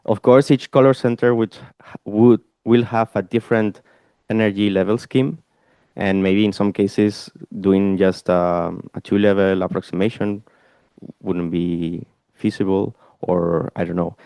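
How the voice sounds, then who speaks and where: A man's voice: lowish voice, fairly even intonation, measured pace, dark tone, smooth, no echo. A man researcher answering questions after his talk at a conference, hesitant, with many fillers and restarts.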